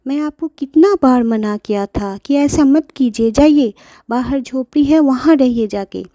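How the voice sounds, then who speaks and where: A woman's voice: sits high, a little slow, dark tone, no room sound, dull audio, voice pushed up. A woman voicing a grown son who sternly sends his mother away. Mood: exasperated. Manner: stern, exasperated.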